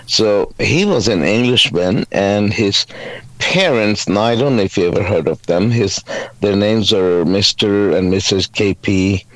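A middle-aged man from Pakistan, a guest evangelist, telling his faith story in an interview, halting with many fillers and restarts. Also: fairly low pitch, a bit bright, dry recording, low-quality recording. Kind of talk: testimony interview.

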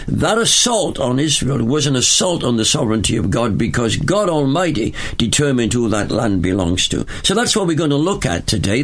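An older Welsh man in his seventies talks like a preacher, with strong emphasis. His pitch rises and falls widely, and he speaks from a studio. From Wales. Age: 70s. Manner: emphatic, preacherly, jokes with a chuckle. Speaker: pastor, studio guest.

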